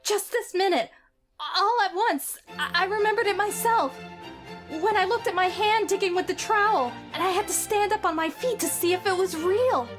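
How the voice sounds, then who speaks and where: A woman doing a high-voiced, wonder-struck boy for an audio drama, lively and excitable. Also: moderate pace, music in the background. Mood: ecstatic. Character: sickly boy recovering, eager and wondering. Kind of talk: amateur audio drama acting.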